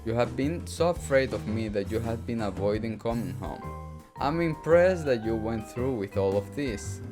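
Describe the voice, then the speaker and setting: A man plays a stern wife in a video game, fed up and commanding. The scolding is formal and measured, at a middling pitch. Music runs clearly behind the voice. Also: expressive, close to an everyday voice. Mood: firm, serious.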